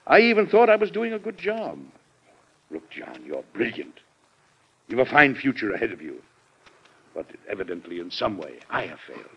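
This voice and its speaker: A man's voice: medium pitch, wildly swooping pitch, moderate pace, pauses often, slightly rough, heavily acted. An actor playing a courteous, fatherly older president with a formal manner in an old-time radio drama. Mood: wounded, concerned. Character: fatherly, dignified older male American president. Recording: muffled vintage radio recording.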